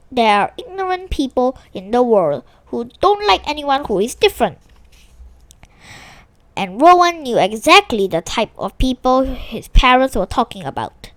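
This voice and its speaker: A woman narrates a children's story in a fairly high voice. Her delivery is lively and swings widely in pitch, with the odd small stumble as she reads. The sound is dry, with very little room around it. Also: dry recording. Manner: animated, with small stumbles and restarts while reading. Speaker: storyteller reading a children's book aloud. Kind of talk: expressive read-aloud narration of a children's story.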